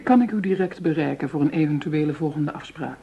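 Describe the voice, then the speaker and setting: An actress playing a cool, seductive dealer in shady money deals, poised and teasing, in a radio drama with some background noise. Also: female voice, low-pitched, a little fast, warm tone, dull audio. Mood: poised, probing.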